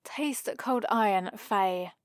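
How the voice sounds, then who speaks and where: A woman's voice: mid-range voice, slow, no echo, close to an everyday voice. A woman playing a haughty, sarcastic centuries-old vampire librarian in a scripted ASMR roleplay, with a dry, theatrical delivery. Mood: smug triumph.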